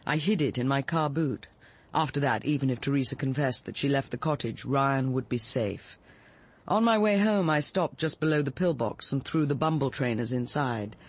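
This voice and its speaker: A woman's voice: very deep voice, moderate pace. An actress playing a cool, resolute middle-aged woman confessing to murder, with an English accent and an articulate, unsentimental delivery in radio drama style. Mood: urgent, controlled. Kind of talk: BBC radio drama acting.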